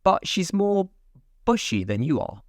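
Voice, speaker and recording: A man's voice: high-pitched, measured pace, dry recording, clean studio sound, pitched above the performer's own voice. A man voicing a passionate, bossy female tiger lily in a storybook reading. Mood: imperious. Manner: imperious, excitable.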